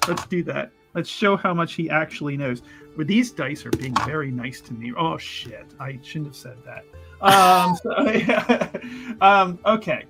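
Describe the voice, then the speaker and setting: A man's voice: a little high, lively intonation, measured pace, music in the background. A man playing a tabletop roleplaying game, chatting casually in a jokey way with theatrical turns of phrase. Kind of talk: casual, animated game chat.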